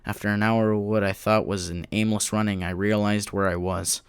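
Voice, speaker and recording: A man's voice: fairly low pitch, little pitch movement, normal speed, clear, resonant tone, no echo, natural voice. A man playing a bitter, despairing man in his late thirties who narrates his diary in an anguished, self-loathing audio drama style. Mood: defeated.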